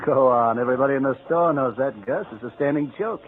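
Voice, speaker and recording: An actor playing a wry, teasing office employee in a 1940s radio drama. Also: male voice, medium pitch, fast, close to an everyday voice. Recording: tinny, noisy 1940s radio recording.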